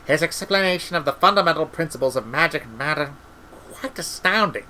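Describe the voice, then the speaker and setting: A man voicing a senior wizard in a storybook, earnest, weary and muddled, halting as he searches for words. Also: a little high, animated, pushed and bright, slight background noise, poor audio. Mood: weary, awed.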